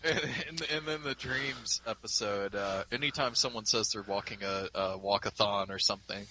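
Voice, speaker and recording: A man's voice: fairly low pitch, moderate pace, sharp tone, rough recording. A man chatting casually on a fan podcast, rambling with frequent restarts and laughs. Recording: faint background noise.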